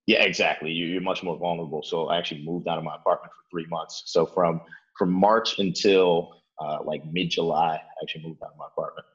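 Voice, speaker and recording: A young man with a very deep, low voice talks through an interview question in a loose, meandering way, peppering his sentences with "like" and "uh" and restarting often. He's from Chicago and speaks from his apartment. The recording sounds a bit muffled and dull. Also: fairly even intonation, fairly quick. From Chicago, Illinois. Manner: rambling, many fillers like "like" and "uh", restarts. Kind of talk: casual interview, storytelling.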